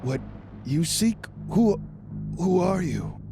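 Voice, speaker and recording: A man's voice: high-pitched, very animated, exaggerated voice. Man acting a dazed, indignant old man. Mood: bewildered. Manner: halting, bewildered, protesting. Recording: loud music under the voice.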